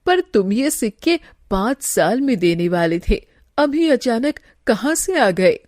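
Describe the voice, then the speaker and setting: A woman voicing a sly, flattering villain's accomplice in a cartoon story, with an inquisitive manner. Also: on the high side, measured pace, dry recording, clean studio sound. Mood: suspicious.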